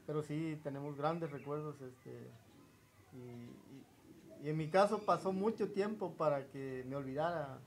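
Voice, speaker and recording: A man in his forties from Chiapas with a southern Mexican accent, reminiscing in an interview in a halting, unhurried way. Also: fairly high pitch, rough recording. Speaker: interview guest. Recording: noticeable background ambient noise.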